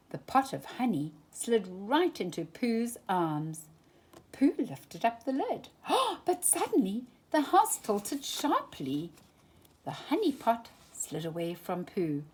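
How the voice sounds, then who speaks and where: A woman reading a children's picture book aloud for storytime, with an expressive, animated delivery. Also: mid-range voice, slow pace, breathy edge. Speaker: storytime reader.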